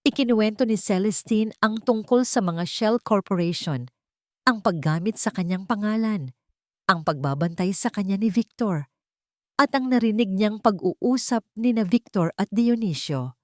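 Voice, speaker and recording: A woman's voice: medium pitch, lively intonation, normal speed, a little mellow, no echo, studio quality. A woman with a Filipino accent narrating a scripted dramatic story.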